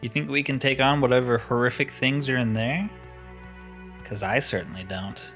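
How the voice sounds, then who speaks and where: Male game streamer, casual and chuckly, medium pitch, soft music underneath. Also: normal speed. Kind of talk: casual game playthrough with role-played dialogue reading.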